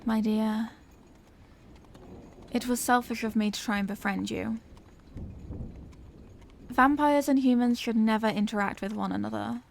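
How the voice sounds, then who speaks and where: A woman's voice: on the high side, steady tone, unhurried, gravelly, long pauses, slight background noise. A woman voicing an anguished, tender Victorian lady vampire in an intimate audio roleplay, speaking in formal, earnest phrases. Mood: sorrowful, tender. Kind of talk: scripted audio roleplay, intimate dramatic monologue.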